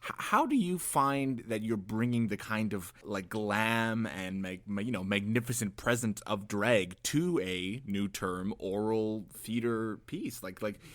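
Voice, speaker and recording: Lively, playful young man. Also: fairly low pitch, measured pace, hardly any pauses. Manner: playful, teasing. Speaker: podcast co-host. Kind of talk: casual chat.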